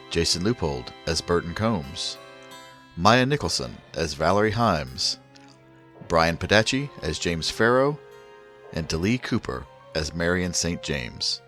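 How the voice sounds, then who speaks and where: A man announces in showy old-time radio style. His very low voice moves with lively swoops, and faint music plays underneath as he hosts. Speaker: radio program host and announcer.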